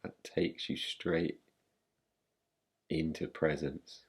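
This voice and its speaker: A man guiding a meditation in a calm, gentle, unhurried manner, leaving long pauses between phrases. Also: rumbling low, gravelly, husky. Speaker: meditation guide. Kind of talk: guided meditation, yoga nidra.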